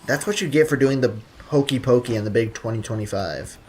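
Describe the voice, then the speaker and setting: A man's voice: medium pitch, a little fast, a little mellow, a little hiss or hum. A man live streaming a video game, chatting casually with viewers in a slangy, joking, rambling way.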